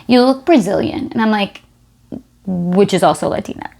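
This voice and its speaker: Comedian lady guesting on a podcast, animated, acts out little convos. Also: medium pitch, moderate pace, vocal fry. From United States. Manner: animated, acts out quoted exchanges. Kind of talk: casual podcast chat.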